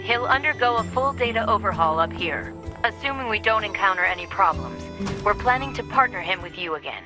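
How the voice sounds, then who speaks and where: A woman playing a cool, authoritative military commander in a video game, giving a formal, measured briefing. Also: mid-range voice, lively intonation, over music, lightly acted. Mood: brisk, official. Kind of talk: video game cutscene acting.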